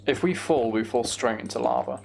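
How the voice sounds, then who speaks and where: British man, middling pitch, creaky fry, casual game commentary, thinking aloud, light music behind. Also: fairly quick, a little mellow, a little hiss or hum. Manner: thinking aloud, mild swearing. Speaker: gamer narrating own play.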